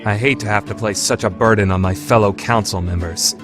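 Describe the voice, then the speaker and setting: Actor as earnest anime schoolboy with low voice. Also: steady tone, a little fast, over music, close to an everyday voice. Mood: weary, polite. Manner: polite, earnest, composed. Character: earnest, composed teenage boy student council president. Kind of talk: anime fandub radio play acting.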